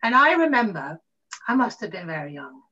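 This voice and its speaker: A middle-aged woman reminiscing casually in an interview, telling stories in a halting, animated way. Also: medium pitch, slightly rough. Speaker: interview guest, actor. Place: room at home, on a video call. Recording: muffled video call audio.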